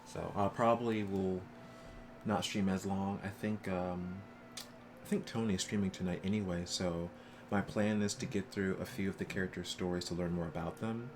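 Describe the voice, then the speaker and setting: A man with a low voice talks casually to the viewers of his game stream. He sounds like he is explaining things off the cuff, with clear game noise behind him. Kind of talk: casual livestream chat with viewers.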